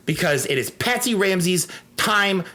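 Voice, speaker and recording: Bright, forceful man rambling comically. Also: high voice, lively intonation, measured pace. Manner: rambling, repetitive, crude, mock-grandiose. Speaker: comedy podcast co-host. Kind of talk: unscripted comic banter.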